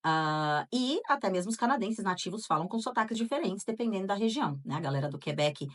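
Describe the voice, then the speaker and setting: A woman's voice: mid-range voice, expressive, quick pace. A Brazilian woman co-hosting a casual podcast, chatting and reading out listener answers, with frequent tag questions. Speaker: podcast co-host.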